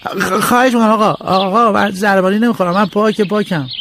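A man's voice: high-pitched, fairly even intonation, a bit bright, a little hiss or hum, pitched above the performer's own voice. A man voicing a desperate young village man who pleads and stammers while begging for a job. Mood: pleading.

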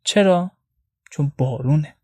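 A man's voice: a little high, a little mellow, breathy edge, studio quality. A man reading scripted, intimate prose expressively, with a tender and wistful delivery. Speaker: podcast narrator. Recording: roomy echo, faint music behind.

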